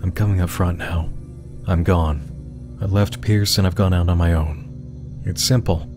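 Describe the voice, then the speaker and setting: A man reads as a haunted, defiant male investigator in a web horror mystery. His low voice gives a dramatic first-person account, with music clearly underneath. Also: steady tone, moderate pace, natural voice.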